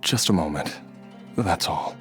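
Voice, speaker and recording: Casting: terminally ill devoted father for a game drama, lowish voice, weary and earnest. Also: animated. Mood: sorrowful, resigned. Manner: halting, sighing, earnest. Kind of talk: video game voice acting. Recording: faint music behind voice. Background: background music.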